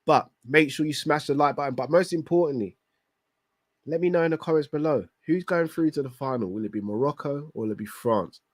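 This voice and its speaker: A man's voice: on the high side, brisk. A man hosting a football recap channel, talking casually and emphatically with strong opinions, his voice bunged up from a cold. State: congested from a cold.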